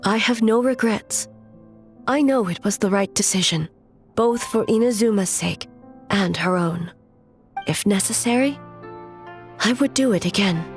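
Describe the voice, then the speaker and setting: Formal anime game shogun lady actress, stern, measured, mid pitch, bit of music behind. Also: natural voice. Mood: composed. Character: composed, dignified female shogun, stern and formal.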